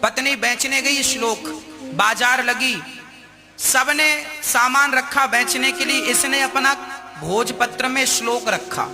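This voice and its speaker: A man's voice: very high voice, slow. A man telling a moral story in a conversational, preacher-like way, acting out the dialogue as he goes. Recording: long hall reverb, music underneath. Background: music.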